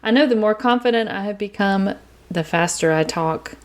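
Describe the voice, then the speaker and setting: A middle-aged woman from East Texas with an East Texas accent, hosting a solo podcast from her quiet home, talking in an earnest, chatty way. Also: medium pitch, normal speed. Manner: chatty and earnest, warm storytelling with small laughs. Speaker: podcast host.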